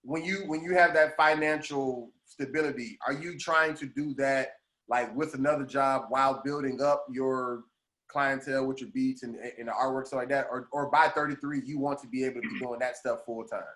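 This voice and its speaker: A man in his thirties hosting a casual podcast interview, laughing often and chiming in with quick agreements. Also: medium pitch, fairly even intonation, fairly bright tone. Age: 30s. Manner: laughs often, repeats "right, right". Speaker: podcast host.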